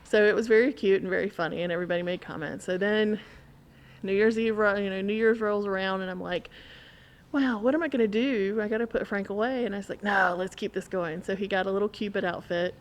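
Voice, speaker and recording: A woman's voice: medium pitch, steady tone, fast. Woman casually telling an anecdote. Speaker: podcast guest telling an anecdote. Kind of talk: casual conversational storytelling.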